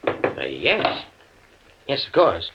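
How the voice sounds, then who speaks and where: An actor playing a stuffy, hesitant, principled professor with precise formal speech in a 1940s radio drama. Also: male voice, on the low side, huge pitch swings, extremely slow, full character voice. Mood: wary, quiet. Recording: muffled, noisy old radio recording.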